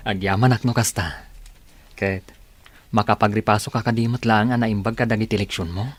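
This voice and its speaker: A man's voice: fairly low pitch, fairly even intonation, normal speed, lightly acted. A man acting as a considerate, mild young husband and dutiful son in a radio drama.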